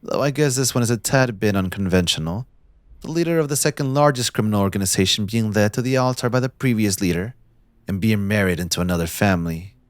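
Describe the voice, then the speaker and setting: A man playing a possessive, smug young mafia heir in a scripted audio roleplay, speaking in a theatrical, condescending, deliberate villain's drawl. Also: lowish voice, no echo, close to an everyday voice. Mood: gloating.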